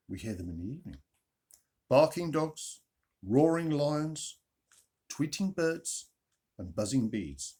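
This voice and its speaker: A man tells a children's story in a husky voice with very lively intonation. He leans on key words with dramatic pauses and turns to put questions to his young listeners. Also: mid-range voice. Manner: expressive, dramatic emphasis and pauses. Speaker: storyteller, reader.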